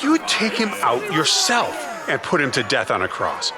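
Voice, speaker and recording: A man acting a forceful biblical official in an audio drama. His voice is high and swings widely in pitch. A loud crowd surrounds him. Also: wildly swooping pitch, moderate pace. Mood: defiant. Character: forceful male authority refusing to condemn a prisoner. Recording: noisy crowd, outdoor ambience.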